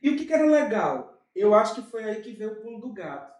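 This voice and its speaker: A man with a Brazilian Portuguese accent, a guest in an interview, telling his story in an animated way with many asides. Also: high voice, far from the mic. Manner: animated, with frequent fillers and quick asides. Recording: echoey room, off-mic.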